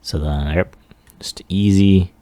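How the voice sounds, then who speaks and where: A man giving a casual, unscripted software walkthrough in a halting, offhand way with mumbled asides. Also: deep voice, no room sound. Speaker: 3D animation hobbyist presenting a demo.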